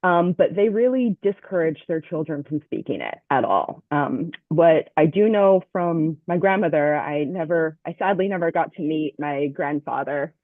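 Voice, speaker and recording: A woman's voice: low voice, lively intonation, moderate pace, hardly any pauses, dry recording. A young woman from Southern California introducing herself on a video call, hesitant with many ums. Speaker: language class student. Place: home. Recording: dry tinny phone-like call audio.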